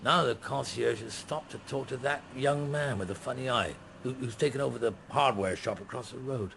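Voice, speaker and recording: An actor playing a middle-aged detective on holiday in a radio drama, mild and drily amused, musing hesitantly in understatement. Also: male voice, medium pitch, normal speed, vocal fry, fairly bright tone, a little hiss or hum. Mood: contented, mild.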